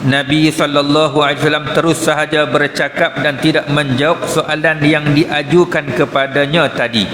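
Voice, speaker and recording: A reverberant hall recording with clear background noise carries a man's fairly high voice. He speaks with a Kedah Malay accent, teaching religion in a colloquial, explanatory way and dropping in short questions to his listeners. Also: little pitch movement, moderate pace, hardly any pauses, a bit bright. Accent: northern Malay, Kedah. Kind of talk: mosque lecture explaining a religious text. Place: mosque hall.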